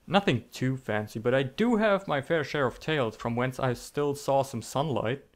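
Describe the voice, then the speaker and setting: A man's voice: mid-range voice, animated, moderate pace. A man telling comedic scripted stories in a theatrical, sarcastic, mock-dramatic manner. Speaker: storytelling video creator.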